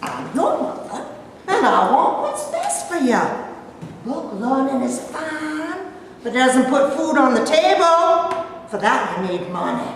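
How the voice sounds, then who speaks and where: A woman's voice: high-pitched, huge pitch swings, a little slow, vocal fry, exaggerated voice. An actress playing a suspicious, domineering, street-wise homeless mother in a staged reading, with a folksy, scolding, sarcastic drawl. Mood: bossy, blunt. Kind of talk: theatrical staged play reading. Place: live staged reading in a hall. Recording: echoey hall, far mic, noisy.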